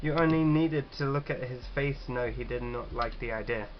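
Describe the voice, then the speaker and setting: A man's voice: medium pitch, brisk, muffled recording, a little hiss or hum. A man hosting a casual gaming read-aloud, jokey and sweary, stumbling a little as he reads. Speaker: gaming video host.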